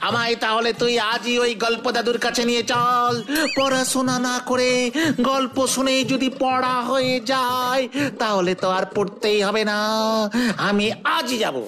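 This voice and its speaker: A woman's voice: on the high side, little pitch movement, unhurried, a bit bright. A woman voicing a mischievous, curious schoolboy in a cartoon, over background music. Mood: excited. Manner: cheeky, curious questioning. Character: cheeky mischievous young schoolboy. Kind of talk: Bengali cartoon dubbing. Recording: music underneath.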